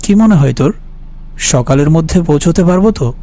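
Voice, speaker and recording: A man's voice: a little high, animated, moderate pace, dark tone. A man playing an anxious, guilt-haunted office worker who tells his horror story with uneasy earnestness. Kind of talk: dramatic horror story narration and dialogue. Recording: music behind the voice.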